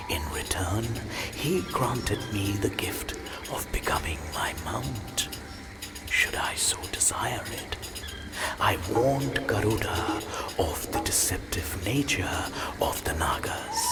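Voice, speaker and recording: A majestic, serene god telling ancient myths in a video game. The delivery is slow and breathy, close to a whisper, with solemn pauses. Loud music plays underneath. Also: male voice, lowish voice, exaggerated voice. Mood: grave, serene. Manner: measured, solemn, deliberate pauses. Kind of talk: mythic game narration.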